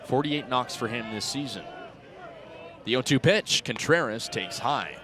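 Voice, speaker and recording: A man's voice: mid-range voice, unhurried. A man in his twenties doing radio play-by-play commentary of a baseball game. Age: 20s. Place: outdoor ballpark broadcast. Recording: ballpark crowd and music behind. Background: stadium crowd noise and music.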